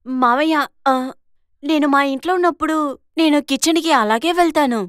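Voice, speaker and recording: A woman voicing a quiet, obedient young daughter-in-law in an animated moral story, meek and compliant. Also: high-pitched, brisk, no echo, studio quality, lightly acted.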